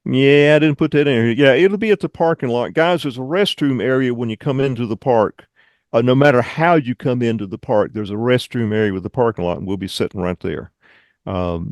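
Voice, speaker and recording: A man's voice: lowish voice, darkish tone, no echo, clean studio sound. A man giving an informal club presentation over a video call, with rambling, folksy asides.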